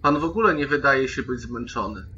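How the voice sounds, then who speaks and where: A man's voice: mid-range voice, fairly quick, lo-fi sound. A man playing a polite but argumentative, complaining fifteen-year-old boy in an online roleplaying game. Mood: needling. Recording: band-limited online call with steady noise.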